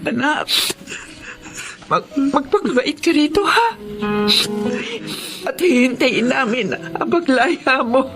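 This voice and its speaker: A woman's voice: high voice, expressive, slow, long pauses, over music, exaggerated voice. An actress playing a loving, long-suffering mother of grown children in an emotional radio-style drama. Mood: sad, caring. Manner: emotional, heartfelt delivery.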